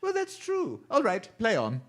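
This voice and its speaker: A woman playing a genteel male photographer in an old-time radio drama, florid and affected, full of drawn-out endearments. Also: a little high, wildly swooping pitch, heavily acted. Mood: indulgent, wry. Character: theatrical, genteel male photographer, fond and indulgent advisor.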